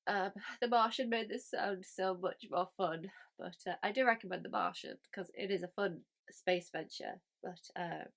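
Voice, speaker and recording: Woman with a cold-deepened voice. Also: moderate pace, vocal fry, few pauses. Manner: rambling with many fillers and restarts. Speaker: book review host. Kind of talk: casual book review chat.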